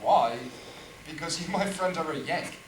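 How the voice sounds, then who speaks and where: An actor playing a dry, sarcastic archbishop ghost, in a stage play recorded in an echoey room from a distance. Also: male voice, a little high, expressive, measured pace, a little hiss or hum. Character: dry, sardonic English archbishop ghost, man.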